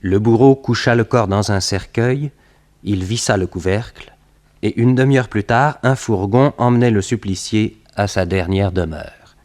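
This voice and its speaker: A man's voice: fairly low pitch, normal speed, a little mellow, natural voice. A French man narrating a 1960s radio mystery serial in a grave storyteller's way. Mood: grave, suspenseful.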